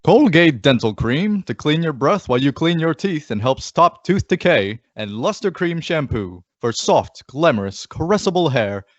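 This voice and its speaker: Man as animated old-time radio announcer. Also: fairly high pitch, normal speed, hardly any pauses, no room sound. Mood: upbeat, selling. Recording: dull, muffled audio.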